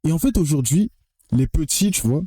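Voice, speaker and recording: A man's voice: fairly high pitch, animated, moderate pace, warm tone, no echo. A young man from French Guiana giving a blunt, emphatic opinion monologue to the camera, swearing freely and often repeating or restarting his phrases. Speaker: vlogger.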